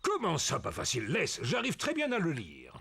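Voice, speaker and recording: A performer voicing a gruff, confident older male pig with a gravelly, husky voice in a French children's cartoon. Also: medium pitch, wildly swooping pitch, moderate pace, fairly bright tone, full character voice. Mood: confident, hearty.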